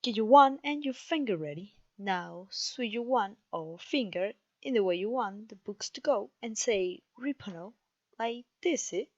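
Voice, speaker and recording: A woman's voice: medium pitch, animated, measured pace, a little mellow, no room sound, highs cut off. A woman with a Mexican accent playing a clumsy, cheerful witch teacher in an ASMR roleplay, theatrical and genteel in her encouragement. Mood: welcoming, instructive. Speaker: voice actor.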